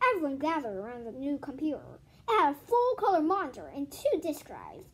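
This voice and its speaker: A female host reading a children's book aloud in an expressive, informal way, correcting herself and adding casual asides. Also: high-pitched, brisk, poor audio. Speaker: video host.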